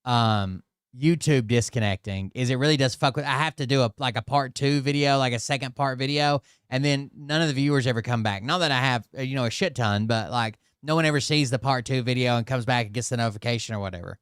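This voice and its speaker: A man's voice: mid-range voice, quick pace, a bit bright, smooth, dry recording, studio quality. A man giving casual, blunt and profane commentary during a live stream.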